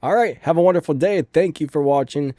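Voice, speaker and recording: Chatty man with a dark, stuffy voice. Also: a little high, a little fast, no room sound, studio quality. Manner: upbeat, chatty, says "ba-bam". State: stuffed-up sinuses. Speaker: tech tutorial host. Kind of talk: casual screen-recorded tutorial. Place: home room with a fan running.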